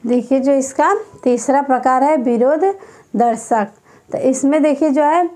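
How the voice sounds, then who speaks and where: Slow, repetitive woman teacher. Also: sits high, fairly even intonation, warm tone. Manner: slow, repetitive dictation, repeating each term several times. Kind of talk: exam-prep grammar lesson.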